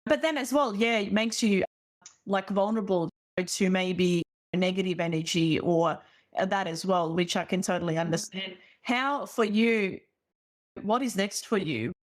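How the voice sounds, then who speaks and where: Casting: woman host and life coach, mid-pitched, supportive and reflective. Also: a little slow. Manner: encouraging, reflective. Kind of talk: casual interview chat.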